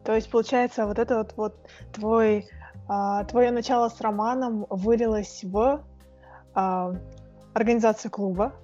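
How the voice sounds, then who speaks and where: A woman's voice: on the high side, steady tone, measured pace, mellow, muffled recording, soft background music. A woman interviewing a guest in a casual conversation, asking friendly, prompting questions.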